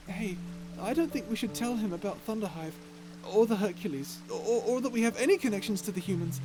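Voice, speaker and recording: A performer voicing a shy, gentle and curious octopus traveller in an ASMR story roleplay, timid and stammering. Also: medium pitch, lightly acted.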